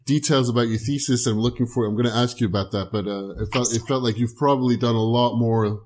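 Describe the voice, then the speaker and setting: A man's voice: medium pitch, fast, a little mellow. Man hosting a podcast interview. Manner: affirming, says yeah often, stumbles and restarts. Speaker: podcast host.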